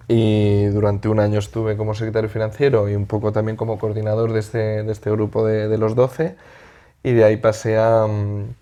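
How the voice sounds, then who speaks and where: A man from Madrid with a Spain accent, answering as a political interview guest in a halting, conversational way, searching for words. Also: on the low side, warm tone.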